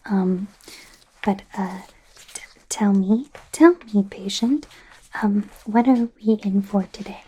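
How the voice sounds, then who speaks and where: A woman voicing a nervous, awkward young female werewolf medical student in a halting, breathy, mostly whispered ASMR roleplay. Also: mid-range voice, mellow, low-quality recording. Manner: flustered, halting, apologetic, self-coaching mumbles.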